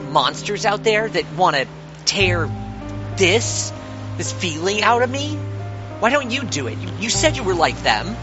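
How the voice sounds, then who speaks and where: A woman's voice: fairly low pitch, highs cut off. A woman playing a troubled, overwhelmed young student in an audio drama, vulnerable and questioning. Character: troubled, overwhelmed student who feels different.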